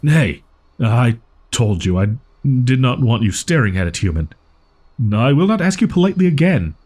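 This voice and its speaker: A man's voice: medium pitch, big pitch swings, moderate pace, a little mellow. A man voicing a proud, easily flustered young wolf-boy in an audio roleplay, speaking in haughty, archaic, formal phrasing. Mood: prickly, defensive.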